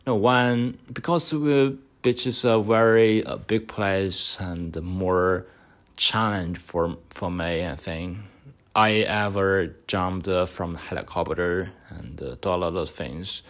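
Young guy, really low voice, slow and halting, lots of uh and um. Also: fairly bright tone. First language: Chinese. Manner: halting, hesitant speech with many fillers. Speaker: podcast host. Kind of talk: mock speaking exam answers.

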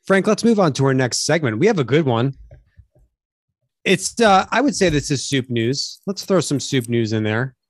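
A man co-hosting a casual podcast, quick and teasing in an upbeat way. His voice sits at a middle pitch. The recording is dry and close. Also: big pitch swings, dry recording. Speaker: podcast co-host. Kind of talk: casual chat.

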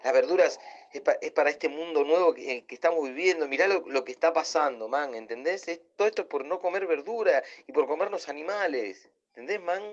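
A man's voice: on the high side, brisk, natural voice. A man playing an earnest, preachy Argentine vegetarian in a comic radio drama, lecturing repetitively. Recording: dull, lo-fi audio.

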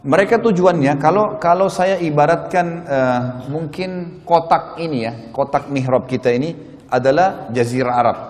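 A man's voice: fairly high pitch, darkish tone. Male preacher in a reverberant hall. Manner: explanatory, addresses listeners, checks understanding with short tags. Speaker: Islamic preacher. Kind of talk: religious history lecture to a congregation. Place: mosque prayer hall. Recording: reverberant hall with long echo.

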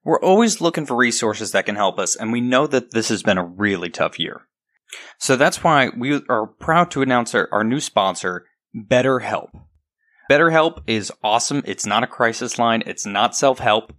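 A man with a mid-pitched voice reads a podcast sponsor spot. He keeps it conversational, like a host talking directly to regular listeners. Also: expressive, moderate pace, fairly bright tone. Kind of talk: conversational ad read.

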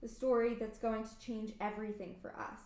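A woman voicing a sharp, ambitious middle-aged wife in an amateur radio play reading, with clipped, insistent delivery. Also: a little high, flat delivery, a little fast, lightly acted. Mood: cutting. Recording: echoey room.

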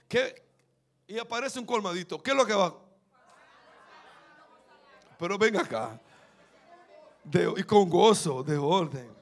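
A man's voice: sits high, lively intonation, takes it slowly, pauses often, a faint crowd. A man preaching a sermon, fervent and repetitive, building to emphatic peaks. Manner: fervent, rising to emphatic peaks, repeats phrases.

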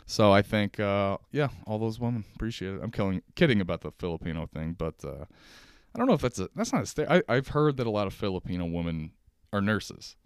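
A man's voice: fairly low pitch, big pitch swings, fairly quick, clean tone, no echo, clean studio sound. An American man hosting a casual solo podcast, chatting in a rambling, informal, slangy way. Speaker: podcast host. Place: at a kitchen table at home.

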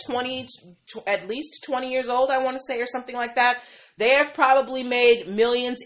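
A woman's voice: fairly high pitch, a bit bright. A woman hosting a live stream, chatting casually with viewers, reading their comments aloud and tossing questions back, with lots of fillers and "right?". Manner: conversational, filler-heavy, keeps asking "right?". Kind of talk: casual chat with a live audience.

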